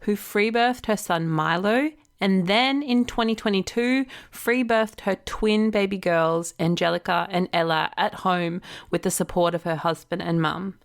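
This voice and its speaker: A woman in her thirties hosts a podcast with eager energy. She opens from a script, then chats loosely and keeps saying "yeah" and "um" as she listens. Her voice is at an ordinary middle pitch for a woman. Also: a little slow, hardly any pauses. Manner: enthusiastic, many fillers and "yeah". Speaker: podcast host. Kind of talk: scripted intro then casual interview.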